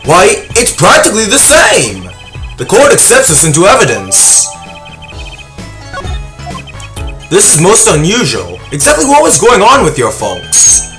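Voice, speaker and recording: A man's voice: sits high, huge pitch swings, moderate pace, a bit bright, heavily acted. A man playing a skeptical, pompous, easily astonished older judge in a video game fandub, with music behind. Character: flustered, skeptical older male courtroom judge. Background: prominent music.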